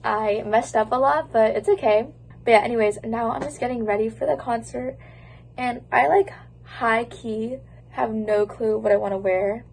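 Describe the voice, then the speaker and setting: A woman's voice: on the high side, steady tone, moderate pace, creaky, a little mellow, husky, poor audio. Giggly young woman vlogging on a phone. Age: young adult. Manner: bubbly, giggly, chatty. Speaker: student vlogger. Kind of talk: casual vlog chat to camera. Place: college dorm room, indoors. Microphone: phone held at arm's length.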